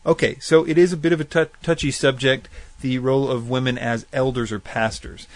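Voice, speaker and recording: A man hosts a faith-based call-in style radio program and works through listener questions in a relaxed teaching voice. He is earnest and thoughtful. He weighs his points as he goes and lays them out plainly. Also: mid-range voice, no echo. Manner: earnest and thoughtful, spells out addresses slowly. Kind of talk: casual explanatory Bible teaching.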